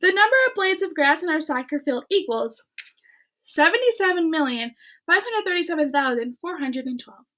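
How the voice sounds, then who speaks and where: A woman reading a children's book aloud in an expressive way, with casual chat to her listeners. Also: extremely high pitch, moderate pace.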